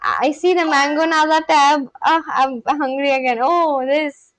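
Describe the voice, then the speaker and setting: A woman's voice: sits high, steady tone, unhurried, crowd noise. A woman livestreamer chatting casually and practising language phrases aloud, in a chatty way. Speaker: livestream host learning Spanish.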